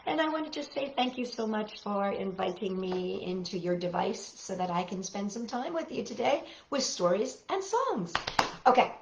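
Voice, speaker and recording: A woman's voice: mid-range voice, very animated, normal speed, vocal fry, roomy sound, slight background noise, low-quality recording. A woman hosting a children's story time, reading aloud and chatting playfully and expressively straight to young listeners.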